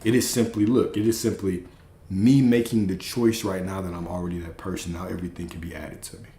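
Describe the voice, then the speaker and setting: A man's voice: low-pitched, steady tone, fairly quick, dark tone, roomy sound. A man hosting a live stream, giving an emphatic spiritual pep talk and repeating his key phrases.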